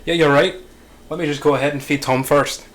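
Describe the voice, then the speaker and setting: A man playing a menacing crime boss in his fifties, deliberate and commanding, in an amateur script reading. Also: fairly high pitch, fairly even intonation, natural voice. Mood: curt, businesslike.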